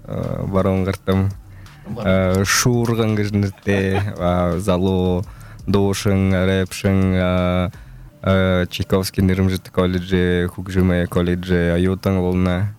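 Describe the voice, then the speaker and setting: A man speaking as an interview guest in a halting way, pausing between phrases. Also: low voice, level tone, a little mellow, clear, resonant tone.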